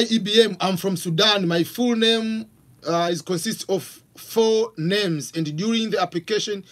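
A man in his forties from Tanzania with a Tanzanian accent, hosting a live question-and-answer stream from a home office on a studio mic, reading out viewer questions. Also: high-pitched. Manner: animated, gestures a lot, reads out questions. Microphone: studio mic on stand with pop filter.

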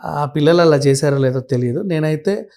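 A man's voice: fairly high pitch, brisk, dark tone. Blunt man explaining things conversationally. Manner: blunt, repeatedly checks the listener understands. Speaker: commentator guest. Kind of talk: animated conversational explanation with acted quotes.

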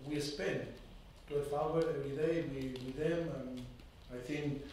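Halting football manager, echoey room. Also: male voice, on the high side, steady tone, darkish tone, slight background noise. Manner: measured, halting second-language English with many fillers. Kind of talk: post-match press conference. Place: press conference room. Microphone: desk microphone. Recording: echoey room, mic at a distance.

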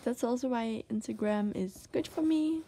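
A woman with a Dutch accent, a native Dutch speaker, giving a casual drawing tutorial as she works, soft-spoken and hesitant, searching for words between many fillers. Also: fairly high pitch, moderate pace, slight background noise. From Netherlands. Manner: soft-spoken, often drops to a whisper. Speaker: art tutor. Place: desk, indoors.

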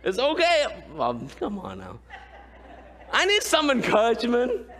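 A man in his thirties, a pastor from Cambodia with a Khmer accent, preaching a lively sermon and often asking the congregation "right?". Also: very high voice, measured pace. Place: large church sanctuary, indoors. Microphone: far camera, room pickup. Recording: long church hall reverb.

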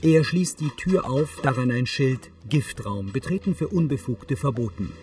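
A man's voice: medium pitch, expressive, moderate pace, darkish tone, faint music behind. A man reading scripted narration that describes the scenes of a TV film.

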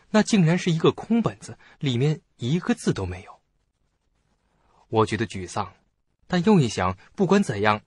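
Animated male suspense storyteller. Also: medium pitch, slightly rough, no room sound. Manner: expressive, theatrical storytelling. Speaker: first-person narrator of a horror story. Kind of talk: dramatic suspense audio-drama narration.

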